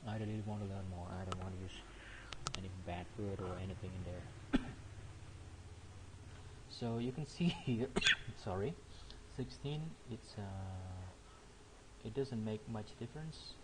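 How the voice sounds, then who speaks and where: Guy doing a software tutorial, lowish voice, lots of ums, hiss in the background. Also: measured pace, pauses often. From Cambodia. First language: not English. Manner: hesitant, thinking aloud with frequent fillers and restarts. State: coughing now and then. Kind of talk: screen-recorded software tutorial.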